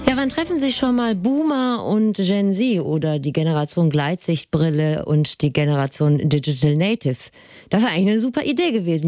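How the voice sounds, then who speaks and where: A woman hosts a culture magazine on the radio. Her voice is mellow and a little low, recorded close and dry. She reads her script in a conversational way. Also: dry recording.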